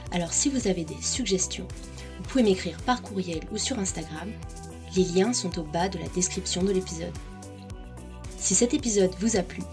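Woman podcast host, lower-pitched voice. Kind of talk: podcast introduction and interview.

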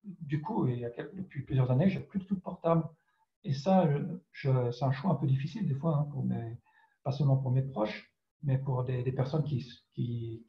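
A man from France, a writer answering interview questions reflectively and hesitantly, searching for his words. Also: mid-range voice. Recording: dull, roomy, echoing sound.

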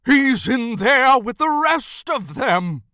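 A wounded male elf from a storybook in full hysteria. The voice is pushed high and sharp, bursting out in panicked, gasping shouts. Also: expressive, slow pace, no room sound, studio quality, heavily acted. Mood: angry, urgent.

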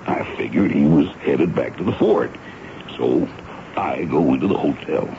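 A folksy American sergeant in a radio play, with a rock-bottom, gravelly voice that swings widely in pitch. He is plainspoken and deferential, dutifully reporting to a superior. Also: animated, unhurried, exaggerated voice. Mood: matter-of-fact, respectful. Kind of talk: radio drama acting. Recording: muffled, noisy old radio broadcast.

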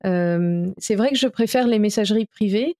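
Earnest woman with a mellow voice. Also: medium pitch, moderate pace. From France. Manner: hesitant, many fillers and restarts, earnest. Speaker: interpreter, guest. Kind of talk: podcast interview answers.

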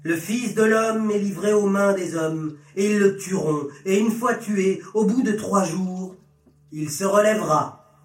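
A stern holy teacher voiced by a male reader in a scripture story, solemn and commanding. The voice sits fairly high and comes from a little distance in an echoey room. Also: a little slow. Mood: solemn teaching.

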